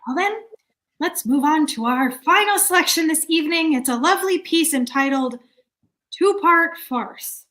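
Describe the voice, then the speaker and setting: Woman acting a bossy, high-voiced meeting chairwoman. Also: expressive, moderate pace, sharp tone, rough recording. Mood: controlled impatience. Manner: stern, schoolmarmish, flustered. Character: exasperated, bossy woman chairing a small-town theater meeting. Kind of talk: community theater staged reading.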